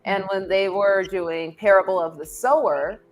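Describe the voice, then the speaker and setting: A woman's voice: medium pitch, measured pace, muffled recording, faint music behind. A woman speaking as a podcast guest in a reflective discussion, thoughtful and often repeating words as she works out her point.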